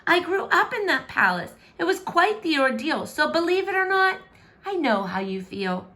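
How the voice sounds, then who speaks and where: A woman's voice: very high-pitched, big pitch swings, moderate pace, bright, forceful tone, voice pushed up, exaggerated voice. A woman voicing a stubborn, earnest young princess in a children's verse storybook, with theatrical, exclamatory delivery.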